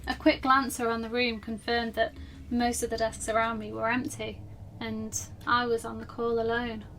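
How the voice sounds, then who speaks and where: A woman's voice: on the high side, little pitch movement, normal speed, a bit bright. A woman reading a horror story as a dramatic scripted narration. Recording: distant mic with faint background noise.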